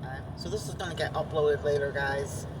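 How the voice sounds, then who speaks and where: A woman vlogger from Upstate New York, chatting casually while riding in a car, who says she has a strong, loud voice. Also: deep voice, a little fast, recorded from a distance, background noise. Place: inside a moving car.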